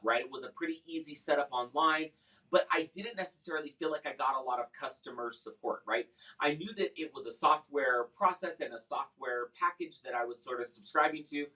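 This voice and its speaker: A man's voice: on the high side, bright, forceful tone, distant mic, poor audio. A man talking casually and conversationally as a customer, explaining his experience in a product testimonial. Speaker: software customer giving a review.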